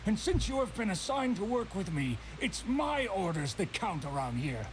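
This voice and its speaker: A man playing a stern, indignant middle-aged island trader in a video game, gruff and argumentative. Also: high-pitched, big pitch swings, moderate pace, slight background noise. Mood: commanding. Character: stern, indignant older male island trader, grieving father.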